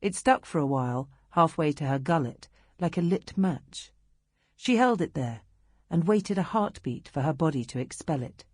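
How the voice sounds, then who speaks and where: A British woman reading literary narration as an audiobook narrator, in a measured, expressive storytelling manner. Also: low voice, breathy edge, dry recording, studio quality.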